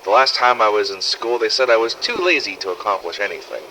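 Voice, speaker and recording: A man's voice: lowish voice, quick pace, sharp tone, a little hiss or hum, poor audio. A man playing a callous young soldier in a video game audio log, recounting brutal orders. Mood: matter-of-fact.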